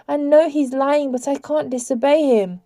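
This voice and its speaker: A woman's voice: high-pitched, fairly even intonation, normal speed, mellow, no room sound, pitched above the performer's own voice. A woman voicing an anguished, devoted young woman under a spell in a storybook, faltering and pleading. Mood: despairing.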